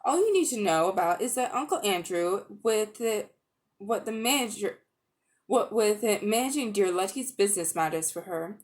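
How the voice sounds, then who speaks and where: Expressive woman reading a storybook. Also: medium pitch, normal speed. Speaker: audiobook reader. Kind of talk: dramatic storybook narration.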